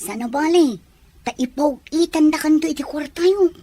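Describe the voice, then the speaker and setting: High-voiced reassuring young Filipina, acted by a woman. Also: moderate pace, mellow, no room sound, natural voice. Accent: Filipino Ilocano. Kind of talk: Ilocano radio-style drama acting.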